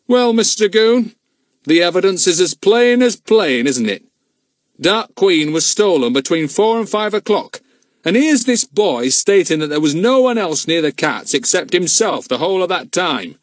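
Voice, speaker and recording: A man's voice: sits high, sing-song, normal speed, no room sound, pitched above the performer's own voice. A man doing a fierce gloating head gardener. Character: spiteful self-important older male head gardener.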